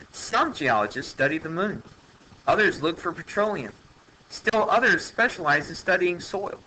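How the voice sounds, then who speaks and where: Bright-voiced man reading a lesson aloud. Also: fairly high pitch, slight background noise, poor audio. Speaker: teacher reading a textbook aloud. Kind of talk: educational read-aloud narration.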